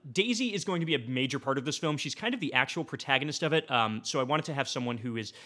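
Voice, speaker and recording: A man with a bright, clear-edged voice of ordinary pitch talks as a guest in a casual debate. He delivers a rambling comic pitch in a mock-serious way, as if every point matters. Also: expressive, fast talker. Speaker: podcast guest. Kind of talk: animated comedic pitch in a casual panel debate.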